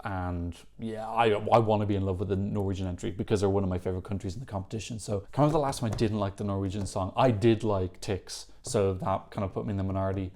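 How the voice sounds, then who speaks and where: A man's voice: fairly low pitch, dark tone. A man hosting a Eurovision reaction video, giving chatty, opinionated commentary and correcting himself as he goes. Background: faint music from the songs being reacted to.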